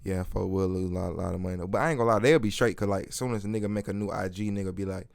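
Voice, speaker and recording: A man's voice: low-pitched, racing, gravelly, clean tone, no room sound. A man with an Atlanta accent hosting a casual podcast, rambling through stories in slangy, profanity-laced talk. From Atlanta area, United States. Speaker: podcast host.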